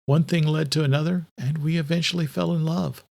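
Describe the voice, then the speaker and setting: Male narrator as a spirited young woman, raised pitch, emotional, snappy, audiobook drama. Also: fairly even intonation, brisk, gravelly, darkish tone. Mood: tender.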